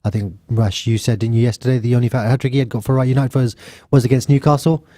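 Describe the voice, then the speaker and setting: Male radio host, upbeat teasing banter, mellow and dry. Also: mid-range voice, fairly even intonation, fast, no room sound. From England. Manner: chatty, upbeat, teasing co-hosts. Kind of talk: casual sports chat. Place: radio studio.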